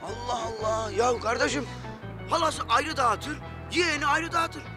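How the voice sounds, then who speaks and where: An actor playing an angry, protective uncle who scolds with reproach and sarcasm in a TV drama. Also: male voice, extremely high pitch, unhurried. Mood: angry scolding. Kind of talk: Turkish TV drama acting. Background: dramatic background music.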